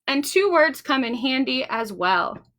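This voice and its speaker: A woman's voice: high voice, sing-song, unhurried, fairly bright tone, full character voice. A woman voicing an eager, formal young girl earnestly pleading her case in a children's storybook.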